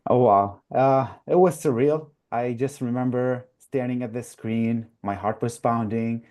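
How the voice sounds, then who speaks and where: A young man from Iraq talks through his story in an earnest, thoughtful way, often pausing with "uh" and "like." His voice is mellow and warm, at an ordinary middle pitch. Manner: earnest, many "uh" and "like" fillers. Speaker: guest, newly matched resident. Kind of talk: interview answers.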